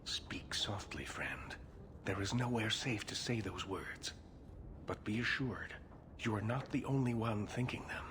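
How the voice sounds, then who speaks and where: Man playing a deep-voiced, wary conspirator. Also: measured pace, lightly acted. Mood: cautious, hushed. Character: wary male conspirator, weary and cautious. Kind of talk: video game cutscene dialogue. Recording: clear background noise.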